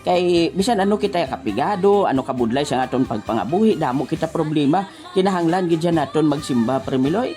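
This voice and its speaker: A woman's voice: sits low, fairly quick, mellow, pitched below the performer's own voice, lightly acted. Woman playing old grandpa, chatty and pious, Western Visayan accent, folksy emphatic radio banter, music behind. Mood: folksy, earnest. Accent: Western Visayan Filipino. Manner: folksy, emphatic, repeatedly addressing a crony. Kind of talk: comic radio chat in character.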